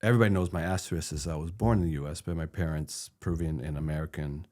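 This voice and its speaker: A man's voice: rumbling low, steady tone, fast, darkish tone. A middle-aged man from Peru co-hosting a podcast, talking earnestly and asking probing questions, often repeating a word as he starts. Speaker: podcast co-host. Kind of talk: conversational podcast discussion.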